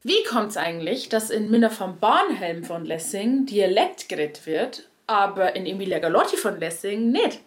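A young adult woman from Bavaria with a Bavarian accent, a stage performer giving ironic, lively radio commentary. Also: mid-range voice, moderate pace, few pauses.

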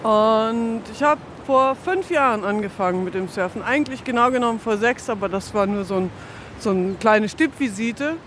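A woman's voice: fairly high pitch, normal speed, fairly bright tone. A woman in her fifties, telling a casual, self-deprecating personal story in an interview. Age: 50s. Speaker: interviewee, late-starting surfer. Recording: field recording with faint outdoor noise.

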